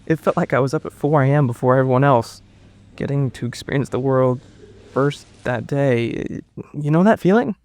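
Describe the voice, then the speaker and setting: A man playing a shaken, bewildered witness in an audio drama, speaking in a halting, stammering way. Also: medium pitch, a little mellow, close to an everyday voice. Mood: dazed, wistful. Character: shaken, bewildered male witness under questioning. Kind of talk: naturalistic audio drama acting.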